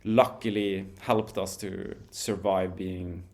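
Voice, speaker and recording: Man with a low voice. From Norway. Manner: relaxed, measured storytelling with frequent restarts. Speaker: guest, wingsuit pilot. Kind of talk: podcast interview storytelling.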